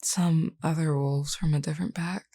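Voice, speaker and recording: A woman's voice: low voice, steady tone, moderate pace, warm tone. A woman voicing a flirty, wounded female werewolf, teasing and weary, sighing through pain in an intimate audio roleplay. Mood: weary, candid. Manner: teasing, sighing, calls listener hun.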